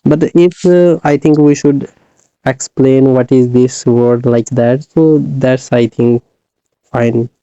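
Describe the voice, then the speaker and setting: A man's voice: mid-range voice, fairly even intonation, slow, warm tone, clean tone, no echo, dull audio. An Indian man with an Indian accent, hosting a casual chat, reassuring and chuckling, with many fillers. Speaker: host.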